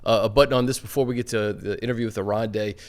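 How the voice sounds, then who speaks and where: A man's voice: lowish voice, fairly even intonation, quick pace. A man hosting a sports talk show in a conversational presenting style.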